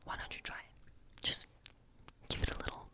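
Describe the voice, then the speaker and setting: A woman playing a hospitable, eccentric woodland witch in an ASMR role play, speaking in a breathy whisper with a slow, coaxing, reassuring, rambling manner. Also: bright, forceful tone. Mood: gently coaxing. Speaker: ASMR performer.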